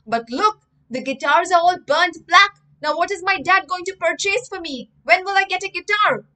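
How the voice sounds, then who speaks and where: A woman playing a bold, curious young boy in a storybook, animated and exclaiming. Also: very high voice, normal speed, voice pushed up, full character voice. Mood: dismayed.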